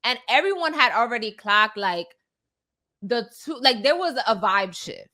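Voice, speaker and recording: A woman's voice: on the high side, very animated, normal speed, pushed and bright. A woman casually recapping celebrity news as a host, often checking for agreement. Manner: conversational, often seeking agreement with "right?". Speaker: talk show host.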